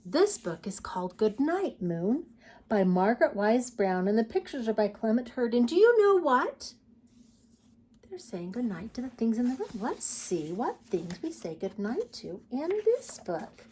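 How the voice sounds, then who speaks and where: A woman hosting a children's storytime, reading picture books aloud in an expressive, sing-song way and talking directly to young listeners. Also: fairly high pitch, measured pace, slight background noise.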